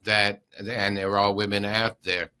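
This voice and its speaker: Man, podcast, laid-back, low voice, sharp bright tone. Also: little pitch movement, a little slow, clean tone. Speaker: podcast co-host. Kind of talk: casual chat.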